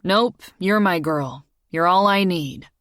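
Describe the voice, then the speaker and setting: A woman voicing a calm, reserved grown man, a single father, in audiobook dialogue with a measured, low-key delivery. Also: no echo, clean studio sound.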